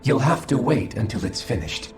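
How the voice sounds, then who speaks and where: An actor playing a cold, aloof alien observer in a video game, speaking formally and impassively with an echoing effect. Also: male voice, on the low side, very animated, normal speed. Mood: detached, impassive. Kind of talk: video game cutscene acting. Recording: echoing voice under loud music. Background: game music.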